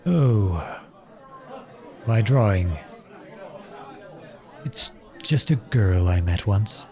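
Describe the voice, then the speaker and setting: A man playing an earnest, lovestruck outlawed prince turned soldier in an audio roleplay drama, speaking softly and with measured pauses. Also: fairly low pitch, expressive, some chatter behind, heavily acted. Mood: shy, wistful.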